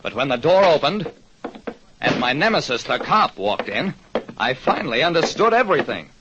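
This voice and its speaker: A man's voice: fairly high pitch, very animated, moderate pace. An actor playing a hard-luck, wry, world-weary private detective who narrates his case in an old radio drama. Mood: resigned, rueful. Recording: dull, noisy old radio recording.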